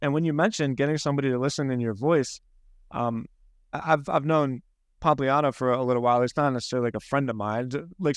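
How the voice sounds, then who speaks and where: A man's voice: medium pitch, clean tone, no echo, clean studio sound. A man with a Philadelphia accent, hosting a podcast in casual, rambling conversation with frequent restarts. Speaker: podcast host.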